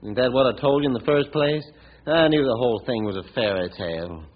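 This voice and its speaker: An actor playing a proud, plain-spoken young rancher in an old-time radio western, speaking in a halting, earnest way. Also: male voice, mid-range voice, lightly acted. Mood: sceptical. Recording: muffled, noisy old radio recording.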